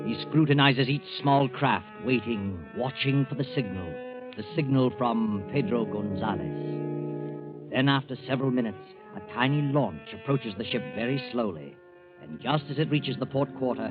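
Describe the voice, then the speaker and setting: A man narrating a 1940s radio suspense story in a dramatic, deliberate present-tense style. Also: medium pitch, natural voice. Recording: tinny 1940s radio, loud music behind.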